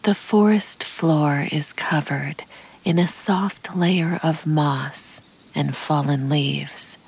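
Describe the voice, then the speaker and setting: A woman narrating a guided meditation in a calm, soothing, measured way, with a soft, breathy voice that often sinks to a near-whisper. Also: low-pitched. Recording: birdsong and forest ambience behind. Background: birdsong, insects, nature ambience.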